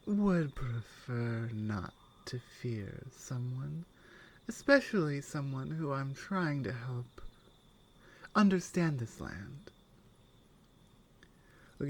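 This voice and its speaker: A woman playing a strong, wry, skeptical Nordic warrior companion in a soft, intimate ASMR roleplay, musing with pauses and murmured asides. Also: extremely low pitch, full character voice.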